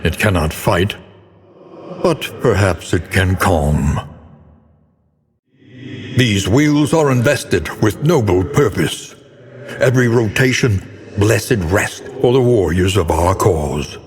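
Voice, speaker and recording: Very deep, slow, brooding gothic narrator. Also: male voice, lively intonation. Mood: grave, stately. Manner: solemn, ornate, theatrical delivery. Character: grave, brooding male narrator of a gothic horror game. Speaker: game narrator. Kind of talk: scripted dramatic video game narration. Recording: long cavernous reverb.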